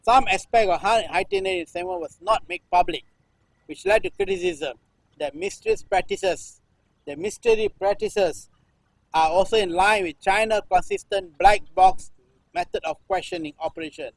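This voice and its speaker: A man in his sixties from Singapore, a performance artist reading news text aloud in halting runs, restarting phrases and repeating a refrain. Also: high voice, animated, creaky, no room sound, poor audio. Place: temple-like cage at an art festival.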